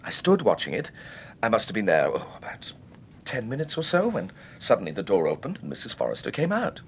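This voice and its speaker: Animated, earnest British gentleman witness. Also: fairly low pitch, slight background noise. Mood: earnest, shaken. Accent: British Received Pronunciation. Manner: earnest, emphatic, halting. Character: shaken, insistent well-bred male witness under questioning. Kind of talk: British radio serial acting.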